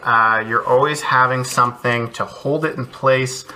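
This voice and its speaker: A man's voice: mid-range voice, fairly even intonation, normal speed, pushed and bright, a little hiss or hum, rough recording. A man, an art educator, casually walking kids through a cardboard craft project from his home studio, encouraging and thinking aloud as he works.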